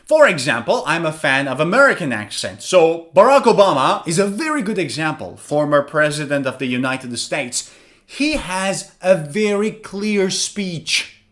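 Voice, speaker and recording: A man's voice: a little high, big pitch swings, measured pace, a bit bright. A man teaching an English pronunciation lesson, asking questions and answering them himself.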